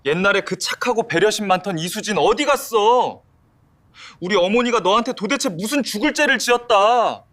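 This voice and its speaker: A man's voice: high voice, expressive, normal speed, fairly bright tone. A man voicing an exasperated husband in an audio drama, pleading and accusing. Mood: angry. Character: exasperated husband devoted to his mother.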